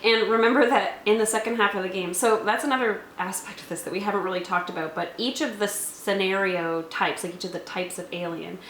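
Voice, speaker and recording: A woman's voice: medium pitch, fairly even intonation, brisk, hardly any pauses, a bit bright. A woman talking casually as a game master and co-host, explaining things in a review conversation. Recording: roomy echo, faint background noise.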